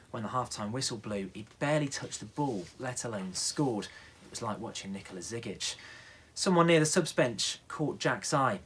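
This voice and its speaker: A man's voice: medium pitch, a bit bright, slightly rough. A male radio newsreader narrating a children's bedtime story.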